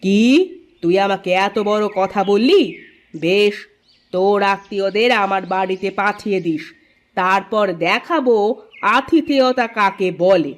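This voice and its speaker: A woman's voice: medium pitch, a little slow, natural voice. A woman voicing a spiteful, scheming neighbourhood aunt in a cartoon story, with theatrical, pointed delivery. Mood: offended, challenging. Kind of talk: Bengali cartoon story dialogue.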